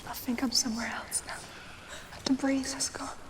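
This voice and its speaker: A woman playing a frightened young warrior in a video game, breathy, hesitant and fragile. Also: high voice, level tone, normal speed, close to an everyday voice, poor audio. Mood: fearful, lost. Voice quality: breathy, whispery. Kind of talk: cinematic video game acting.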